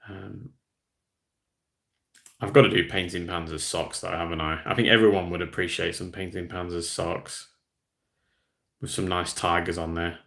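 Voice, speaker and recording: A man's voice: low-pitched, fairly even intonation, normal speed, creaky, long pauses, fairly bright tone. A man, host of a hobby channel, chatting casually on a livestream, rambling and reading out viewer comments. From Australia.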